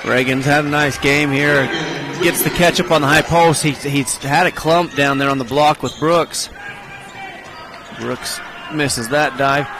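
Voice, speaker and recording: A man giving color commentary at a high school basketball game, explaining spacing, screens and rebounds like a coach. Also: steady tone, a little slow. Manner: coach-like breakdown of plays and spacing. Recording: game broadcast with gym noise. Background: crowd and court noise of the game.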